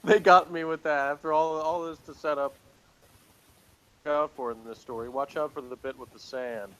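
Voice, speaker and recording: A man's voice: fairly high pitch, fairly even intonation, normal speed, a bit bright. A man chatting casually as a reviewer, commenting in a dry, sardonic, joking way. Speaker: episode reviewer. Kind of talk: casual review chat, reading aloud and commenting. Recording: clearly noisy room ambience.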